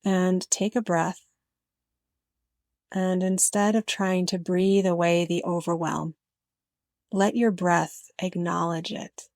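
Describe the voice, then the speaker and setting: A woman narrating a guided meditation in a gentle, unhurried way with frequent pauses. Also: on the low side, steady tone, dry recording, clean studio sound.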